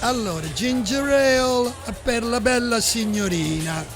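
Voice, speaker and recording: A man's voice: extremely high pitch, normal speed. An actor playing an attentive, courtly hotel barman in a radio drama. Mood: obliging. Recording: loud music underneath.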